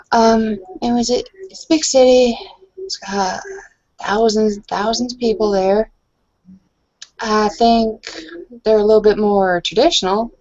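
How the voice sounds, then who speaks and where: A woman's voice: mid-range voice, darkish tone. A woman improvising in-character conversation as a player in a roleplaying game. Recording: dull, lo-fi online voice chat.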